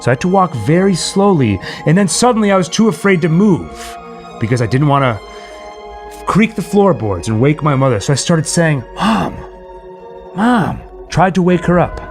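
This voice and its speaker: A man hosting a livestream, chatting casually and telling stories in a theatrical, dramatic manner. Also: on the high side. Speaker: livestream host. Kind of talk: casual storytelling and chat to livestream viewers.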